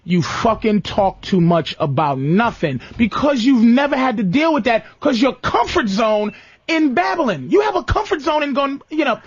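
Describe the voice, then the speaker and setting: A man's voice: extremely high pitch, expressive, moderate pace, slightly rough, no room sound, dull audio. A man hosting an unscripted comedy talk radio show, teasing, cutting in, repeating himself and swearing.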